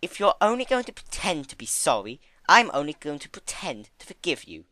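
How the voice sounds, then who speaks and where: A man voicing a gentle, motherly female pony with an earnest manner, in a dramatic storybook reading. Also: fairly high pitch, sing-song, a little fast, dry recording, voice pushed up. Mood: stern, cross. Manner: soft-spoken, hesitant, earnest. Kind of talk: dramatic fan fiction reading.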